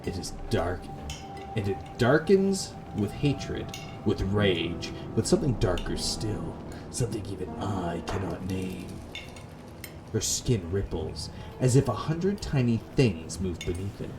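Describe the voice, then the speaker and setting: Male gamer-narrator, low voice, animated pitch, dramatic reading with offhand asides, atmospheric music underneath. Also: takes it slowly. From Canada. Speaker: gamer reading a visual novel aloud. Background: atmospheric game music.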